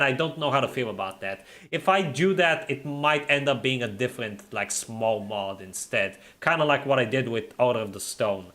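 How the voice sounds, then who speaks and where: A man streaming a game and chatting casually with viewers, making his R sounds in the throat. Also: mid-range voice. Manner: relaxed, reads and answers chat while playing. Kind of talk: casual livestream chat.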